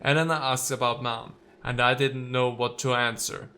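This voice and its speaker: A man's voice: mid-range voice, steady tone, moderate pace, sharp tone, slight background noise. A man doing let's play commentary, reading game text aloud and reacting with sarcasm and exasperated swearing. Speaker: let's player.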